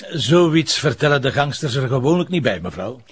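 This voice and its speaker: An actor playing a wry, sarcastic police commissioner in a radio drama, with a Flemish accent and a dry manner. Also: male voice, mid-range voice, very animated, normal speed, slightly rough. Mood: businesslike. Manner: dry, sardonic.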